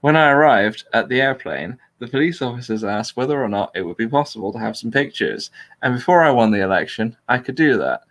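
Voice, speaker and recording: A man's voice: mid-range voice, lively intonation, a little fast, hardly any pauses, fairly bright tone. A man hosting a casual talk, chatting and reading text aloud in a dry, informal way. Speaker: talk show host reading out a transcript. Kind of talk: casual chat and deliberately flat reading aloud.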